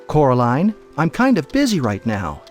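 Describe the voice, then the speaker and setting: A man's voice: medium pitch, huge pitch swings, moderate pace, darkish tone, faint music behind. A man playing a distracted, put-upon father in a video game, mild, droll and reluctant. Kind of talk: video game cutscene voice acting with tutorial instructions.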